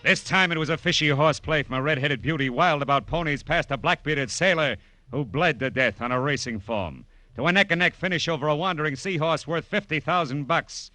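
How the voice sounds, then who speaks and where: An American actor playing a wry, world-weary private detective in an old radio drama, with slangy, clipped delivery. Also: male voice, a little high, brisk, sharp tone, no echo. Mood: punchy, teasing. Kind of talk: 1940s-50s radio detective acting, first-person narration. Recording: vintage radio broadcast with music.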